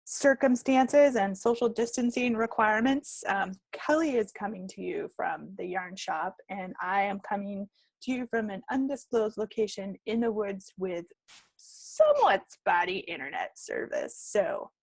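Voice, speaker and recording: A woman's voice: mid-range voice, unhurried, gravelly, dry recording, poor audio. A woman co-hosting a casual podcast from home, chatty and playful in her delivery. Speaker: podcast co-host. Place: at home, recording an online call.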